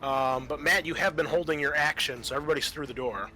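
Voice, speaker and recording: A man's voice: a little high, quick pace, bright, forceful tone. A man acting as game master in a tabletop roleplaying game, explaining rules casually and thinking aloud as he goes. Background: background music.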